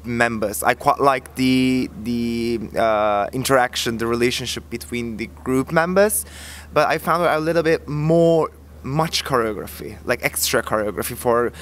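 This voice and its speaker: Halting Turkish-accented man in casual review. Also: mid-range voice, a bit bright. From Turkey. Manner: halting, searching for words. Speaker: reviewer, co-presenter. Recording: faint background ambience noise.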